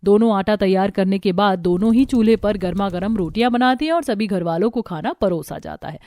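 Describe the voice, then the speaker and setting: A woman's voice: mid-range voice, a little fast, warm tone, no echo. A woman reading scripted narration for a cartoon family story.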